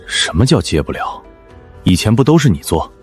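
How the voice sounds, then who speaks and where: A man playing a demanding, dismissive middle-aged senior lawyer in an animated drama, curt and bossy. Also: fairly low pitch, moderate pace, soft background music.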